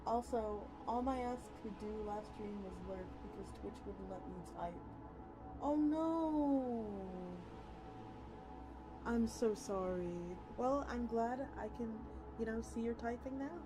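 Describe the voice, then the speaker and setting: A woman's voice: fairly high pitch, slow pace, rough recording. A woman livestreamer reading a story aloud with acted dialogue and chatting casually with her viewers. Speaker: VTuber streamer. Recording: music playing behind the voice. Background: music.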